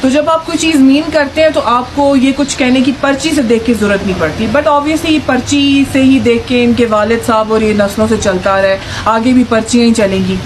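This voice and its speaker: A woman with a fairly high voice delivers sarcastic, scolding political commentary straight to her viewers. Her voice rings in an echoey room, and steady noise hangs under the recording. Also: moderate pace, hardly any pauses. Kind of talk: opinion vlog.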